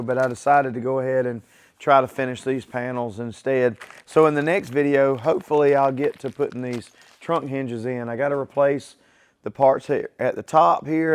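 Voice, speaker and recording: Man, weak voice from illness, smooth tone, casual workshop vlog, easygoing. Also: medium pitch. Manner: plain, easygoing, talks straight to viewers. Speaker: car builder hosting his own garage channel. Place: home garage workshop.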